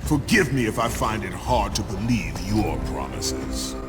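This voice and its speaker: An actor playing a grim, bitter Spartan warrior in a video game cutscene, speaking harshly and defiantly. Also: male voice, fairly low pitch, expressive, unhurried. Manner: harsh, defiant, weary of the gods. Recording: with loud music behind.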